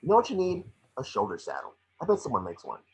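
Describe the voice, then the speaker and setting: A man's voice: medium pitch, wildly swooping pitch, fast, vocal fry, a little hiss or hum, low-quality recording. A man playing a small, cocky, wisecracking creature detective, snarky and bossy, in an amateur script reading.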